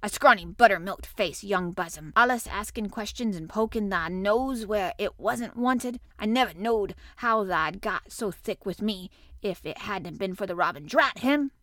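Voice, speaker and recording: A woman's voice: medium pitch, animated, moderate pace, bright, forceful tone, no echo. Lady doing a cranky old yorkshire gardener bloke from a storybook, blunt, telling folk off, dry close sound. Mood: angry, scolding. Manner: haranguing, blunt dialect scolding. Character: indignant, scolding old Yorkshire gardener man.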